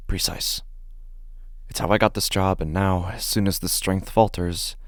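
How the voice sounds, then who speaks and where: Casting: man to play a fussy, nervous male office clerk telling his horror tale; deep, fry-edged voice, halting delivery. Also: no echo, studio quality. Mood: agitated, defensive. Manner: halting, deliberate, stammers when agitated. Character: anxious, meticulous male office worker unsettled by his typos. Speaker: narrator of a horror story. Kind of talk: first-person dramatic horror narration.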